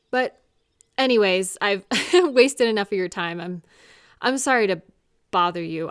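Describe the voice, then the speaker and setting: Woman acting as caring female friend, slightly awkward, gentle, earnest, hesitant, medium pitch, close dry sound, one-on-one comfort roleplay. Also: smooth, no room sound, close to an everyday voice. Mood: awkward, apologetic. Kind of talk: comfort audio roleplay, speaking one-on-one to the listener.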